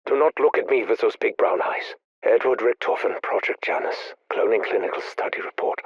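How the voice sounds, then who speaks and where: An actor playing a grieving, obsessive male scientist, speaking in a measured, brooding, confessional way in video game audio logs. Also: mid-range voice, creaky, breathy edge, no room sound, highs cut off.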